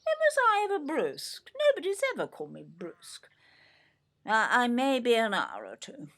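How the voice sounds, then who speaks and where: An older woman with an English accent playing a brisk, teasing, affectionate elderly wife in an audio play. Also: on the high side, huge pitch swings, a bit bright, full character voice. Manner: brisk, wry, tag questions. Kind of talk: naturalistic audio play acting.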